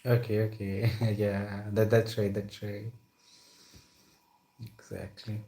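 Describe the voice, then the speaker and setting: Indian man, easygoing chat, fairly low voice. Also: level tone, slow pace, dark tone, a little hiss or hum. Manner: friendly, leans on "like" and "right?". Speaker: conversation partner. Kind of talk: casual conversation practice.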